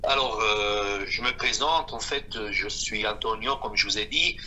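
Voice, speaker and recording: A man of fifty-six from Switzerland speaks in a halting, repetitive way as he gives an unscripted personal testimony. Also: lowish voice, sharp tone, low-quality recording. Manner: halting, repeating himself. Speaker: guest giving a personal testimony.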